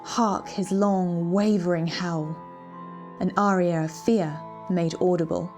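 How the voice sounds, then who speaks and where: A woman narrating a literary story in a deliberate, ominous, dramatic way, as a scripted readthrough. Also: lowish voice, fairly even intonation, a little mellow. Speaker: reader of a short story. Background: background music.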